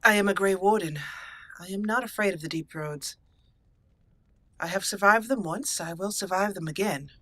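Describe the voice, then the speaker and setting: A courteous, resolute female elf mage, roleplayed by a woman gamer in a fantasy game. Her voice is very bright and medium in pitch, her phrasing formal. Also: dry recording, close to an everyday voice. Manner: formal, measured wording. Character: resolute elf mage Grey Warden, dwarf-raised, courteous.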